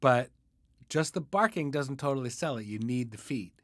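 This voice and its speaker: A man's voice: mid-range voice, animated, normal speed, fairly bright tone, dry recording, studio quality. Podcast host, male, middle register, conversational interviewing, very little room sound.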